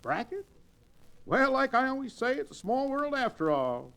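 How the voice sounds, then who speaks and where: An actor playing a pompous, blustery, hard-drinking cowboy sidekick in florid words for an old Western radio drama. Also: male voice, extremely high pitch, very animated, moderate pace, a bit bright, clean tone, full character voice. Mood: genial, boastful.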